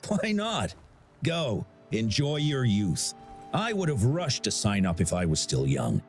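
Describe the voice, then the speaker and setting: A man's voice: mid-range voice, unhurried, faint music behind. An actor playing a genial, talkative older man who directs a sporting festival in a video game. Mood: genial, encouraging. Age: middle-aged. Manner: genial, chatty, expressive.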